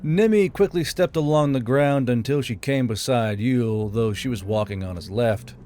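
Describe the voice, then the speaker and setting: A man's voice: medium pitch, normal speed, warm tone, slight background noise. A man reading scripted fantasy fiction aloud as an audiobook narrator.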